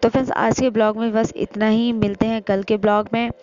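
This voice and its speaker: A middle-aged woman with a hoarse voice from a sore throat, chatting casually through a cooking vlog in her home kitchen and explaining each step to her viewers. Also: a little high, little pitch movement, brisk, darkish tone. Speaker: vlogger. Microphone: phone camera. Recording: slightly muffled phone audio.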